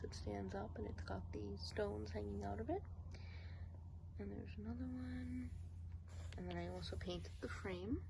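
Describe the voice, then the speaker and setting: A woman hosting an ASMR channel who chats casually and softly about her own artwork, slipping into whispers. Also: fairly low pitch, vocal fry, background noise. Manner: soft-spoken, rambling with fillers, slipping into whispers. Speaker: ASMR channel host and artist. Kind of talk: casual chat.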